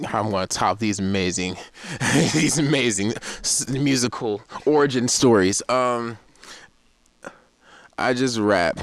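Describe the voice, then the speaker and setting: Relaxed young male rapper, smooth tone, dry podcast sound. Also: lowish voice, dry recording. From Florida. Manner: laid-back, rambling storytelling with laughs. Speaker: rapper in a band. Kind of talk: casual interview chat.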